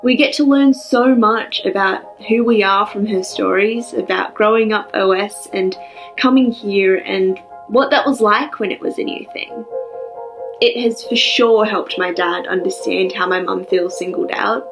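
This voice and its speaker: A woman's voice: mid-range voice, a little slow, gravelly, soft background music, rough recording. A woman playing a chatty, upbeat young commenter, reading an online post within a short story.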